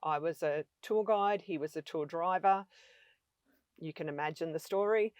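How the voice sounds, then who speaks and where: An Australian woman in her fifties tells her stories in an eager, conversational way. Her voice sits on the low side, and the recording is dry and close. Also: normal speed, darkish tone, smooth, no room sound. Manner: chatty, enthusiastic storyteller. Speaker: travel vlogger guest. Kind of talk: casual conversation.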